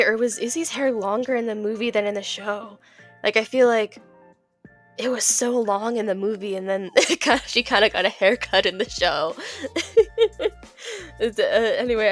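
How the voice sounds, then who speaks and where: A woman's voice: fairly high pitch, expressive, moderate pace, faint music behind. A young woman narrating a casual art vlog, laughing often and tripping over her words while her voice is still off from being sick. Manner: giggly, stumbles and repeats words. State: recovering from illness, voice a bit off. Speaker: video creator.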